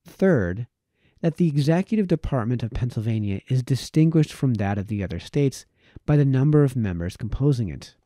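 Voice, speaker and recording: A man reading a formal historical text aloud as a narrator, in measured, formal phrasing. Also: mid-range voice, animated, warm tone, no room sound, clean studio sound. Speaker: reader, narrator.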